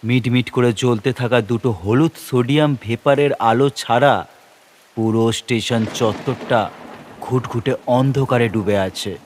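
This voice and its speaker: A man narrating a horror story in a suspenseful, expressive, dramatic manner. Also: mid-range voice, a little slow. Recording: rain and noise behind narration. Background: rain.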